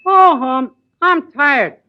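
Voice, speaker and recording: A man's voice: very high-pitched, extremely slow, exaggerated voice. A man playing a sleepy, whiny young man in 1940s radio comedy. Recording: dull noisy 1940s radio broadcast.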